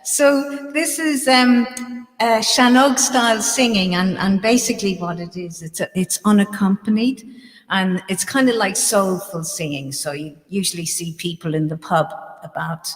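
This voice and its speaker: A woman's voice: mid-range voice, normal speed, long reverb tail, low-quality recording. A woman with an Irish accent, a guest musician chatting casually between songs, giggly and full of ums. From Ireland. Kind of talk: casual chat between songs on a live stream.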